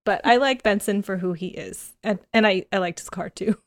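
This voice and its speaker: A woman hosting a podcast in a casual, giggly, expressive, informal interview style. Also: mid-range voice, moderate pace, no room sound, studio quality. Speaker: podcast host.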